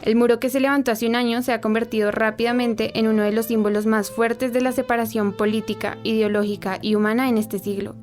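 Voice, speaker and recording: A woman playing a solemn radio news presenter, narrating a scripted report in a formal, even tone. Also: fairly high pitch, a little fast, few pauses, faint music behind, natural voice.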